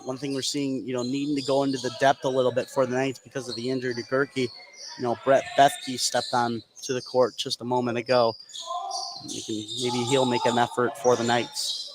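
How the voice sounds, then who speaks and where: A man's voice: mid-range voice, monotone, a little fast. American male color commentator in a noisy gym. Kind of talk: conversational basketball analysis. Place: courtside in an indoor gym. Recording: clearly noisy gym sound. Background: gym noise.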